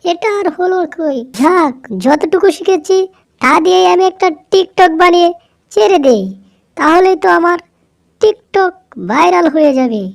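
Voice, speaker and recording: A woman's voice: very high-pitched, a little slow, vocal fry, exaggerated voice. A woman voicing a vain young female fox in a cartoon, showy and gushing. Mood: dismayed, scheming. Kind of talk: cartoon voice acting.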